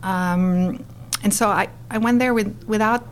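A woman's voice: medium pitch, measured pace, slight background noise. A middle-aged woman from France with a French accent, animatedly telling her story as an interview guest. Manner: animated, expressive, acts out quotes.

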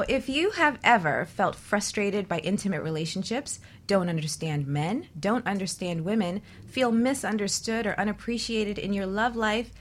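A woman's voice: on the low side, a little hiss or hum. A woman hosting a radio talk show on relationships, delivering semi-scripted introductions and chat as a host and coach.

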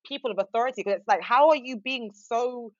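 A young woman co-hosting a podcast, talking in an outspoken, expressive casual way. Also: fairly high pitch, fairly quick, dry recording. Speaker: podcast co-host. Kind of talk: casual chat. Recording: dry, dull muffled sound.

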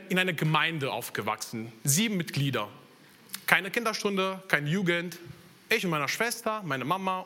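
Lively Italian-accented preacher. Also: male voice, fairly high pitch. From Italy. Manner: earnest, personal testimony with slips in German grammar. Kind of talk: sermon.

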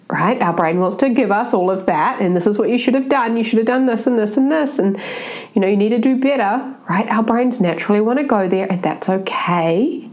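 Woman with a stuffy, blocked-up voice, mid-pitched, giving a sincere motivational talk. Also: a little mellow. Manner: earnest, keeps adding "right?". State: congested, blocked-up nose. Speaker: self-help speaker.

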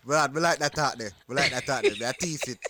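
A man's voice: mid-range voice, fast, crowd noise. A man hosting a casual interview, talking loosely in slang with plenty of fillers. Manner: loose slangy talk, laughs easily, hesitant fillers. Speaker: host.

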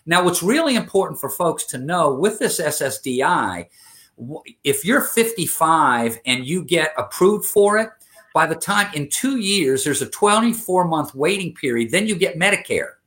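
A man's voice: fairly high pitch, big pitch swings, normal speed, a bit bright. A man in his fifties giving an interview, speaking earnestly, with frequent fillers and restarts. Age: 50s. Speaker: interview guest. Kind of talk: conversational interview.

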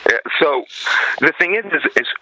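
A man's voice: a little high, expressive, moderate pace, bright, forceful tone, low-quality recording. A man giving blunt, emphatic opinions as a guest in a podcast interview.

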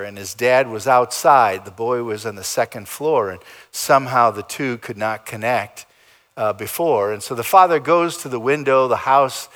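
A man preaching a sermon as pastor, in a dramatic style that swings between loud emphasis and soft, low endings. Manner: dramatic preaching with big swings in pitch and loudness. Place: church sanctuary.

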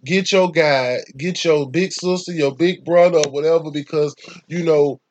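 A young man with a Southern Atlanta accent speaks in a voice pitched a bit above the usual male range. He talks in loose, rambling casual conversation, swearing freely and hammering his points with emphasis. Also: steady tone, normal speed, muffled recording, slight background noise. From Atlanta, Georgia, US. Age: young adult.

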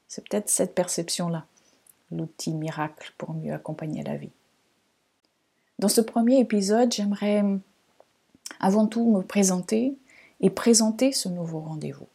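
A woman from Portugal with a Portuguese accent, speaking French as a second language in a reflective, measured podcast monologue, pausing between phrases. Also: lowish voice, gravelly, dark tone, slightly rough. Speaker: podcast host and astrologer.

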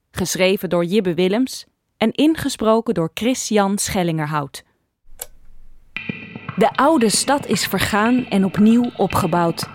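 Female series narrator, scripted drama introduction, mid-range pitch, dry studio voice-over. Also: no echo. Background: soft music.

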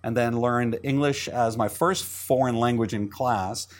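A middle-aged man from Israel, a Hebrew native, talking as a guest in an interview, with a quick manner full of restarts and repeated words. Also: medium pitch. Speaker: entrepreneur guest. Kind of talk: interview conversation.

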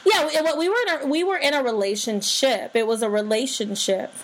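A woman co-hosting a podcast in casual, gushing chat, her voice slightly hoarse and full of fillers and restarts. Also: sits high, fairly quick, slight background noise. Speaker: podcast co-host.

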